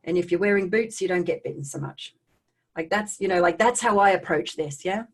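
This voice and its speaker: Lower-voiced Australian woman telling her story. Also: brisk, slightly rough. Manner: conversational, animated asides, checks in with listeners. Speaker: speaker hosting a live online talk on trauma recovery. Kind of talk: personal storytelling talk to online viewers.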